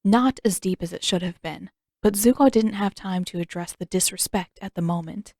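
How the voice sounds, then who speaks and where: A woman's voice: medium pitch, normal speed, darkish tone, no room sound, clean studio sound. A woman reading a story as scripted audiobook narration, in the manner of an amateur narrator.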